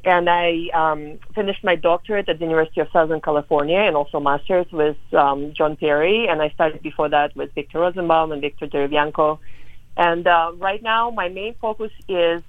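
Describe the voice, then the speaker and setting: A woman's voice: low-pitched, steady tone, a little fast. A woman from Ukraine, a pianist, talking about her work in a phone interview, earnest and full of ums and uhs. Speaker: pianist guest. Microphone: telephone. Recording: tinny phone line.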